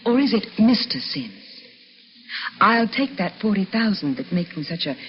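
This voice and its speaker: Female actor for a sweet wife with hidden cunning, lively melodic delivery, muffled 1950s radio drama. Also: medium pitch, normal speed, natural voice. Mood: coolly triumphant. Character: sweet wife feigning amnesia, secretly shrewd. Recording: muffled, hissy vintage radio recording.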